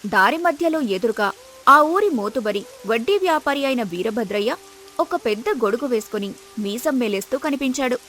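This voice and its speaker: A woman's voice: on the high side, animated, normal speed, bright, forceful tone. A woman narrating a scripted moral story as the storyteller.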